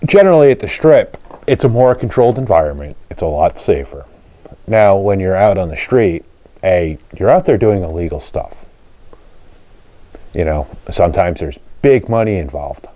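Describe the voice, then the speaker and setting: Low-voiced man telling casual stories, dull sound. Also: lively intonation, moderate pace, dry recording. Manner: plain-spoken, colloquial. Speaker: host of a car channel, drag racer. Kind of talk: casual storytelling and explaining to viewers.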